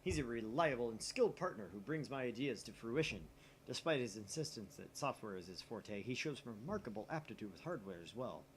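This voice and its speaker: A man reading a visual novel aloud in theatrical, expressive narration. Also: mid-range voice, brisk, slight background noise, poor audio. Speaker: gaming channel host.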